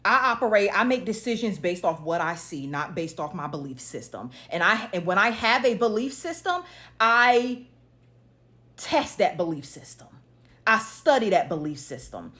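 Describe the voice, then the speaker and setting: A woman's voice: mid-range voice, very animated, normal speed, fairly bright tone. A woman giving an opinionated monologue to viewers, blunt and emphatic, posing pointed rhetorical questions as she goes. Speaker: social media commentator.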